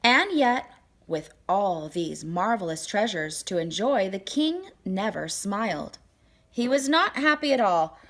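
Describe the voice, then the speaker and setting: A woman reading a children's picture book aloud as storyteller, with expressive, deliberate phrasing and dramatic emphasis. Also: mid-range voice.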